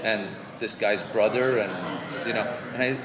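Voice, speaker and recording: A deep-voiced man in his forties talks easily and conversationally, restarting sentences as he goes. He is captured from across a big, reverberant convention room. A loud crowd murmurs all around him. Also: normal speed. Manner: relaxed, chatty, many restarts and fillers, gestures while talking. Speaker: actor being interviewed. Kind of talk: casual press interview. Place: large convention press room. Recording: echoey hall with loud crowd. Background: loud crowd chatter.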